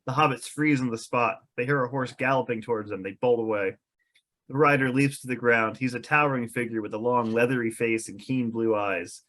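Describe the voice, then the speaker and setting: A man's voice: mid-range voice, steady tone, fairly quick, a bit bright. A man reading scene descriptions as the narrator of a script table read.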